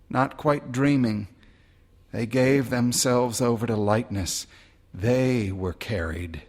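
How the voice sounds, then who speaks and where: A man reads literary fiction aloud in a measured, dramatic manner. His pace is slow and deliberate, and his mid-pitched voice carries a creaky, gravelly grain. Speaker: audiobook-style short story reader. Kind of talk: literary short story narration.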